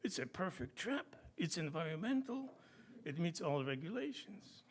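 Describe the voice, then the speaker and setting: A man's voice: on the high side, very animated, normal speed, a little hiss or hum, voice pushed up. A man telling a joke at a live reading, playing an earnest, stubborn inventor who explains himself insistently. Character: earnest, stubborn male inventor pitching a mousetrap.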